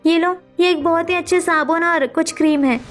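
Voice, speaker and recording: A woman's voice: extremely high pitch, fairly even intonation, normal speed, faint music behind. A woman voicing a kind, encouraging young sister-in-law in a cartoon story, with a coaxing, reassuring manner. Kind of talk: dramatic Hindi cartoon story acting.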